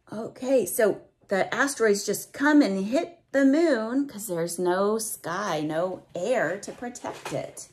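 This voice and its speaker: A woman's voice: mid-range voice, husky. A woman reading a picture book aloud to young children, stretching out the rhymes expressively and adding simple, teacherly explanations and questions for the listener.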